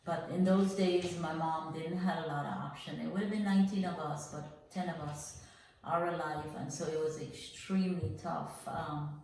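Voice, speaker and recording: An older woman from Guyana telling her life story as a podcast guest, earnest and reflective, with frequent pauses. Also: on the low side, dark tone, very echoey, far from the mic, a little hiss or hum, low-quality recording.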